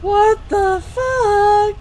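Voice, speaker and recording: A woman's voice: extremely high pitch, fairly even intonation, very slow, music in the background. A woman hosting a let's play with casual, informal, sweary gaming commentary.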